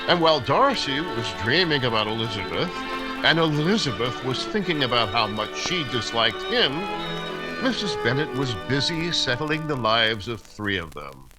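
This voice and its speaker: An actor playing a genial storytelling narrator in 1940s radio style. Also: male voice, medium pitch, sing-song, moderate pace, over music, natural voice, poor audio.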